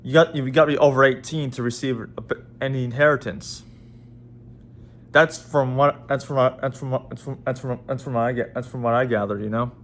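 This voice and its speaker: Halting young man vlogging. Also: mid-range voice, steady tone. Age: 20s. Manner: halting, many restarts and repeated words. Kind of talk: casual vlog talk to viewers.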